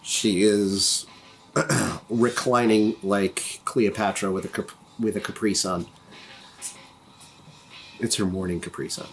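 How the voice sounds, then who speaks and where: A man's voice: fairly low pitch, steady tone, takes it slowly, gravelly, long pauses. A man narrating a tabletop roleplaying game as storyteller, improvising in a conversational way. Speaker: game master, storyteller of a tabletop RPG podcast.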